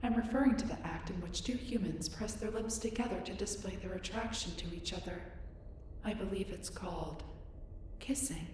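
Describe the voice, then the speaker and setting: A woman's voice: medium pitch, moderate pace, close to an everyday voice. A woman playing a curious, polite young female alien in an audio roleplay, speaking in formal, precise, reserved phrasing. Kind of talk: scripted roleplay audio drama addressed to listener. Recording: echoey, distant, noisy spaceship ambience.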